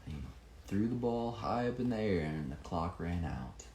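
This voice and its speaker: A man reading his own children's picture book with expressive emphasis, pausing to explain it casually to a child. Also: low voice, creaky. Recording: echoey room with faint background noise.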